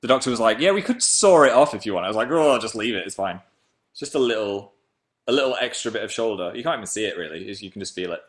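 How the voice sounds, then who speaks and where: A man in his twenties from England with a deep voice, chatting playfully on a webcam livestream while reading comments. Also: lively intonation, fast talker. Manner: playful, jumps between high and low pitch. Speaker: livestream host. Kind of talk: casual live chat reading comments.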